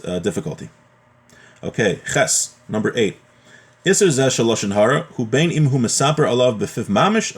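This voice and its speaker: A man giving an informal religious lesson as a teacher, explaining in a conversational way. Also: medium pitch. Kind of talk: informal religious lesson, reading Hebrew text then translating and explaining.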